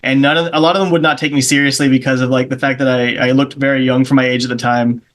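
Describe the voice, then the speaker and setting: A man's voice: mid-range voice, little pitch movement, fast, fairly bright tone. A man from Charleston chatting as a podcast guest, rambling through anecdotes and acting out the other people in them. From Charleston, South Carolina. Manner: rambling anecdotes, acts out the voices of people in his stories. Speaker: guest comedian. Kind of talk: casual podcast chat.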